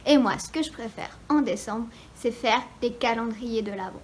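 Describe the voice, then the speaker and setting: Chatty woman with a high voice. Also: moderate pace. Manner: chatty, with frequent hesitations. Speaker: guest friend. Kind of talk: casual craft tutorial.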